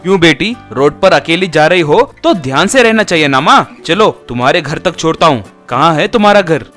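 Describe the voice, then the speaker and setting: A man voicing a protective, honest lawyer of fifty in an animated moral story, with a fatherly, assertive manner. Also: a little high, brisk, soft background music. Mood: fatherly, concerned. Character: protective, honest fifty-year-old male lawyer, avuncular.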